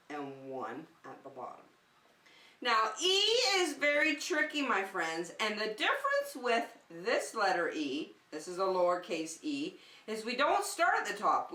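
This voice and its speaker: A woman's voice: lowish voice, sharp tone. A woman preschool teacher giving simple, encouraging instructions to young children in a circle-time lesson. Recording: echoey room, distant microphone.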